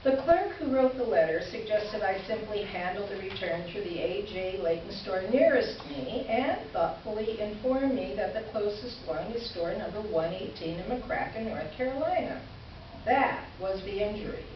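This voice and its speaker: A woman's voice: medium pitch, expressive, normal speed, natural voice. A woman playing an indignant, exasperated writer reading her sarcastic complaint letter aloud in staged reader's theater. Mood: dry indignation. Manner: pointed, exasperated, dryly sarcastic. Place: indoor stage presentation before an audience. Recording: echoey hall from far off, dull, faint hiss.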